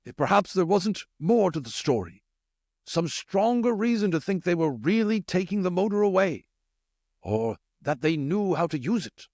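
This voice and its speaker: An earnest older rat leader in a storybook, acted out by a man, pitched high with sweeping intonation. He is measured and deliberate, commanding and probing. The recording is close and dry. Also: big pitch swings, darkish tone, no room sound. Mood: pondering. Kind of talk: audiobook character voice.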